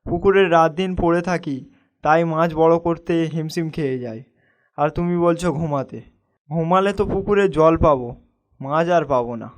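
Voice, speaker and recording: A young village fisherman from a cartoon, played by a man, with a notably high-pitched voice. He is humble and hardworking, and speaks earnestly, like a modest man standing by his honest living. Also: moderate pace, lightly acted. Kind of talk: cartoon dialogue acting.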